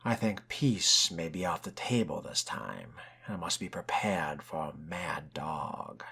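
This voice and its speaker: A man voicing a brooding, genteel vampire in video game roleplay, breathy and near-whispered, with a measured, ominously polite, old-fashioned manner. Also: low voice, lively intonation, bright, forceful tone, heavily acted. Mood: grim, wry. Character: brooding, genteel, world-weary male vampire fledgling.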